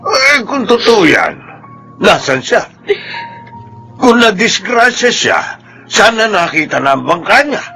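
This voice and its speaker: An actor playing a bitter, gruff old father who sneers at his son-in-law in a Filipino radio drama. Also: high voice, big pitch swings, slow pace, long pauses, pushed and bright, faint music behind, heavily acted. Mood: suspicious, irritated.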